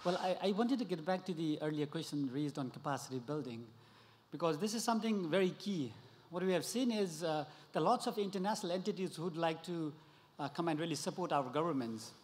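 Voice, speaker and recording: A man from Nepal puts a question to the panel from the floor, earnest and explaining his point with examples. His voice is a little high, carried by a long hall reverb. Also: brisk. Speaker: audience member asking a question. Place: conference hall.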